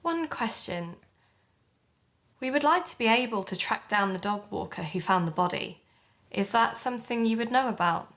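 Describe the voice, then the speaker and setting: An actress playing an earnest, polite investigative podcaster, in dialogue and narration for an audio drama. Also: female voice, fairly high pitch, expressive, normal speed, a bit bright. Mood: polite, inquiring.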